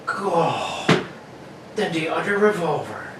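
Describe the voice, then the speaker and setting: Man playing high-voiced eager police sergeant. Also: lively intonation, slow pace, a bit bright. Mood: impressed. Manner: folksy, obliging, exclamatory. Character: eager, deferential working-class police sergeant. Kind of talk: old-time radio drama acting. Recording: echoey room, distant mic, faint noise.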